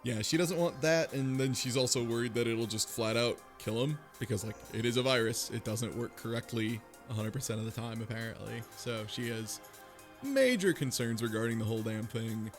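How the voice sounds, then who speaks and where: A man's voice: medium pitch, animated, a little fast. Blunt, sarcastic man, music behind. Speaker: podcast co-host. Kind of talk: casual chat recapping a visual novel.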